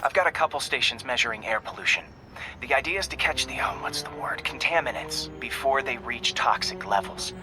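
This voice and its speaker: An actor playing an earnest young man asking his friend for a favor, a little hushed and halting. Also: mid-range voice, animated, music in the background, close to an everyday voice. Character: young man, worried friend leaving a message.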